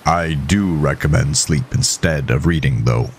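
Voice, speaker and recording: Man playing a very deep, stern doctor. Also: unhurried, slight background noise. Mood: formal, measured. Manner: formal, clipped, precise. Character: gruff, stern, impatient male small-town doctor. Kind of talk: audio horror story character voice.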